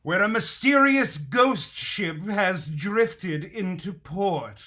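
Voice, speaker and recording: An actor playing a grand, theatrical 1930s radio announcer, with dramatic pauses. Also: male voice, high-pitched, expressive, bright, forceful tone, slight background noise, exaggerated voice. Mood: grand, showy.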